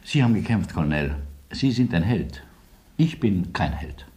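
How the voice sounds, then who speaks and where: Polite, nervous little gentleman. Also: deep voice, big pitch swings, normal speed. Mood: eager, admiring. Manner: courteous, eager, nervous. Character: polite, nervous, admiring Polish-born gentleman. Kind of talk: radio drama acting.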